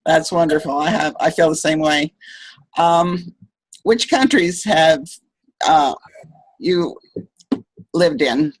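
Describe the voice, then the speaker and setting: A woman's voice: low-pitched, slow pace, pauses often, husky, dry recording, low-quality recording. A woman hosting an author interview, asking questions with short friendly reactions. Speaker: interview host.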